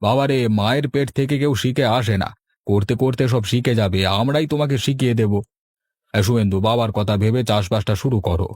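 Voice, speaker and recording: A man's voice: mid-range voice, fairly quick, darkish tone, no echo, lightly acted. Earnest village uncle, dry close sound male actor. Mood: persuasive. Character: earnest village uncles, middle-aged, advising and fretting. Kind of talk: Bengali cartoon dubbing.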